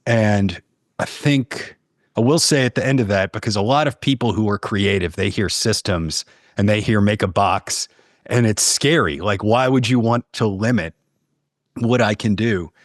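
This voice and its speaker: A man talking casually as a podcast guest, explaining how he works in a halting way with repeated phrases. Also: on the low side, dry recording, clean studio sound. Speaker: podcast guest, audio producer and narrator. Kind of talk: casual interview talk.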